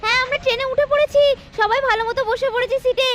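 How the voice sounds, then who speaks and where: A woman's voice: very high voice, fairly even intonation, quick pace, fairly bright tone, pitched above the performer's own voice. A woman voicing a cheerful, apologetic young aunt in a doll story, pleading and placating.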